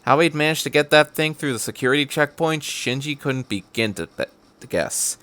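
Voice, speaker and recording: A man's voice: on the high side, animated, normal speed, fairly bright tone. A man hosting a podcast and reading fan fiction aloud as scripted narration.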